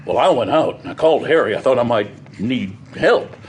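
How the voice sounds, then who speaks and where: An actor as a plain-spoken American farmer witness, noisy backdrop. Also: male voice, medium pitch, animated, close to an everyday voice. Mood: earnest recounting. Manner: halting, folksy storytelling. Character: plain-spoken farmer witness, earnest and hesitant, recounting a grim discovery. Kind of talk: audio theatre acting.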